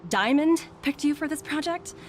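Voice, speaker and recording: A woman's voice: high voice, moderate pace, a little hiss or hum, natural voice. An actress playing a proud, guarded young businesswoman in a video game, curt and easily irritated. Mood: surprised, wary. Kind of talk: dramatic game dialogue.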